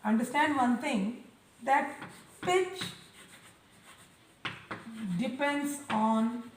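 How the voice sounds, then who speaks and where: A woman teaching a science lesson to children, speaking loudly and deliberately and repeating key terms. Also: high-pitched. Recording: echoey room, voice a bit far from mic, faint noise.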